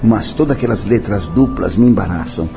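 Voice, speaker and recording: An older man speaks, his voice low-pitched and mellow. He talks in an interview with a solemn, declamatory manner, slipping now and then into other languages. Faint music plays behind him. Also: lively intonation, fairly quick, creaky. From Brazil. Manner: solemn, declamatory, mixing in French and Italian phrases. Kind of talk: interview, personal reminiscence.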